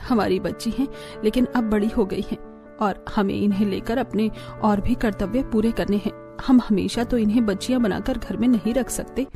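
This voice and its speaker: Woman playing a practical, gently scolding mother. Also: mid-range voice, normal speed, music in the background, natural voice. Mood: reasoning, concerned. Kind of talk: cartoon family drama dialogue.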